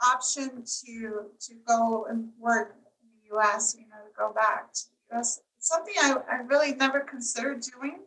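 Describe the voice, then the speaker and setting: Casting note: woman in her forties from Costa Rica, fairly high and bright, chatty interview guest, set back a little from the mic. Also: normal speed, far from the mic, rough recording. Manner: chatty, many "you know" fillers. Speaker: tourism marketing agency owner, guest. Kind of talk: casual interview answers.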